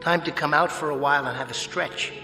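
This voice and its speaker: An actor playing a jockey's urbane, mocking alter ego in a radio drama, speaking with polite, sardonic precision. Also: male voice, on the high side, brisk, pushed and bright, echoey room, big-room sound, faint music behind. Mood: smooth, mocking.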